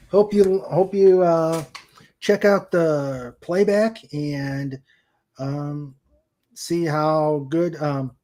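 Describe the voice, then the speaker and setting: An older man hosting a casual livestream, greeting viewers in a halting way and often trailing off mid-sentence. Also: fairly high pitch, warm tone. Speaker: livestream show host.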